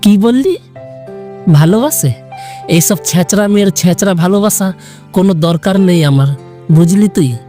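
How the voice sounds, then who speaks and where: A man's voice: high voice, normal speed, pauses often, warm tone, faint music behind, close to an everyday voice. A man playing an irritable, curt young man in an audio story, scolding and exasperated. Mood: scornful. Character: irritable young man pestered by his lovestruck cousin. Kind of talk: Bengali audio story acting.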